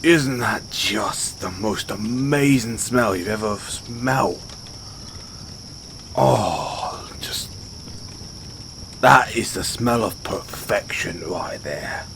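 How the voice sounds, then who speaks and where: A man's voice: lowish voice, creaky, noisy background, full character voice. A man playing a big, brash orc barbarian in a fantasy audio roleplay, speaking colloquially straight to the listener. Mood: hearty, relishing. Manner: colloquial, halting when defensive. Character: big, brash male orc barbarian, rambunctious but sheepish. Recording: nature ambience with crickets behind. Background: outdoor night ambience, crickets.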